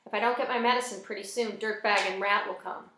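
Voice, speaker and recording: A woman's voice: mid-range voice, steady tone, fast, background noise, lightly acted. A woman voicing a naive, anxious male character in a novel, with a simple and sincere way of speaking. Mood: earnest. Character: naive, earnest, anxious male misfit narrator. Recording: roomy, echoing space.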